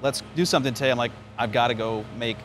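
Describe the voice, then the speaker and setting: A man's voice: mid-range voice, steady tone, fast, a bit bright, faint music behind. A man from New York, a radio sports announcer, answering interview questions in a conversational storytelling way. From New York, United States. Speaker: baseball radio play-by-play announcer. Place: outdoors at a spring training ballpark. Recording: clear background noise, outdoor ambience.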